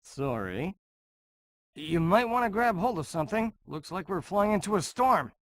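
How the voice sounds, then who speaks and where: An actor playing an easygoing, teasing crew sidekick with a casual manner in a video game. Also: male voice, high-pitched, very animated, normal speed.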